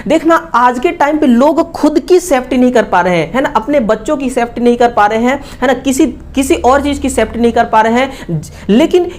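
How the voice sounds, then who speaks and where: A woman with an Indian accent teaching exam questions, repeating key facts as quick rhetorical questions with frequent checking tags. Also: sits high, a little mellow. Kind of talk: exam question analysis lesson.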